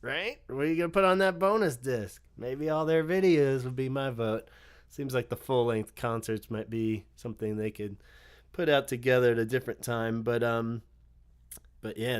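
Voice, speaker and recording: Male podcast host, casual and chatty, smooth resonant tone, mid pitch. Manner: easygoing, laughs often, loose filler-heavy talk. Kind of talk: casual chat.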